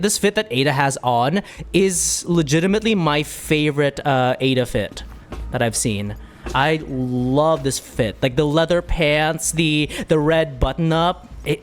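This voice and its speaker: A streamer giving casual, excitable live gaming commentary, chatting to viewers and often repeating words and phrases. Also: medium pitch, unhurried, faint music behind. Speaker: streamer playing a game for a live chat.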